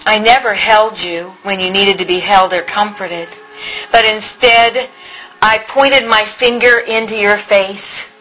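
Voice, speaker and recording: Woman, slow and earnest, bright clear tone, soft pleading apology over faint music. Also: a little high, poor audio. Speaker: speaker leading a healing apology exercise. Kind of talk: slow spoken apology prayer in a mother's voice.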